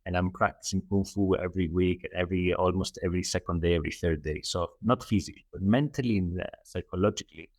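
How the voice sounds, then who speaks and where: Middle-aged Greek man, Greek accent, very deep voice, earnest guest who repeats phrases, dry mic. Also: steady tone, fast, few pauses, no room sound. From Greece. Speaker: guest, holistic coach. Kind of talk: interview answers.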